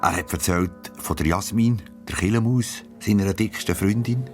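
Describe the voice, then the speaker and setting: A low-pitched man narrates a children's story with a Swiss German accent. Music plays clearly behind his storytelling. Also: moderate pace, darkish tone.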